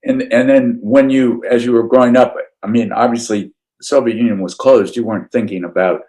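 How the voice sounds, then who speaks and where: A man interviewing for an oral history archive, offering short acknowledgements and asking halting questions. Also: medium pitch, steady tone, dark tone, poor audio. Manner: brief encouraging backchannels and halting questions.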